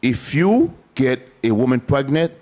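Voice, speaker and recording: A man's voice: medium pitch, unhurried. A man from Haiti telling stories emphatically in a casual group discussion on a talk show. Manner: animated storytelling, emphatic repetition.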